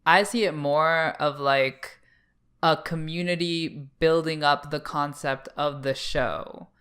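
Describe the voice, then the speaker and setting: A man's voice: on the high side, steady tone, takes it slowly, pushed and bright. A man co-hosting a podcast, chatting casually in a blunt, irreverent way. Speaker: podcast co-host. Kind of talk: casual chat.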